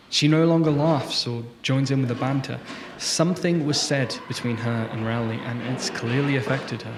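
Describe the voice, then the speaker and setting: A man's voice: medium pitch, lightly acted. A man acting a concerned, observant shelter colleague in a radio drama, earnest as he narrates and talks. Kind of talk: first-person radio drama narration and dialogue. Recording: roomy, noisy, with background ambience.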